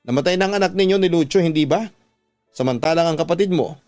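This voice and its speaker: A man's voice: fairly high pitch, fast, a little mellow, dry recording, lightly acted. A man playing a stern, unsympathetic father of grown daughters in a radio drama, with a commanding manner. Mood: blaming. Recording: music bed under the voice.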